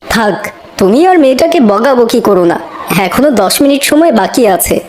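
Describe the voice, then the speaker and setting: A woman voicing a gentle, indulgent father with a soothing, coaxing manner in a children's moral cartoon. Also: on the high side, lively intonation, moderate pace, slight background noise, natural voice. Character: gentle, indulgent father defending his daughter.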